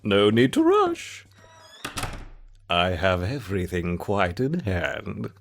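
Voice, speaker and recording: A man's voice: low voice, huge pitch swings, slow pace, faint music behind, heavily acted. An actor playing a bookish, evasive male scientist in a video game, with a fussy, theatrical manner. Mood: composed, scheming. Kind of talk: video game character acting.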